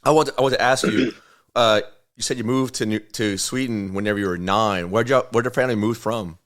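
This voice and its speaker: A man's voice: on the low side, fairly even intonation, fairly quick, fairly bright tone. A man hosting a podcast, casually interviewing and asking curious follow-up questions. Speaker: podcast host.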